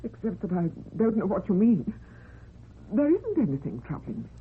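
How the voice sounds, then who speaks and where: An actress playing a lonely, fragile office worker, childlike and devoted, earnest and emotional, in a radio suspense drama. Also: female voice, mid-range voice, very animated, moderate pace, slightly rough. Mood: meek. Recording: thin, narrow old radio recording.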